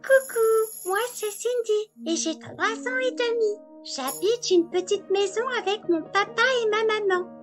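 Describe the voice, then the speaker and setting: A woman's voice: very high-pitched, a little slow, few pauses, faint music behind. A woman playing a timid three-year-old girl telling her story simply in a children's storybook. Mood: timid, confiding.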